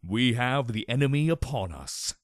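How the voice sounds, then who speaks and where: A man's voice: medium pitch, expressive, moderate pace, no room sound, studio quality, close to an everyday voice. A man voicing a bossy, pompous young girl pony playing army general in an overly dramatic storybook reading. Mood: shouted command. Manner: self-important, overly dramatic.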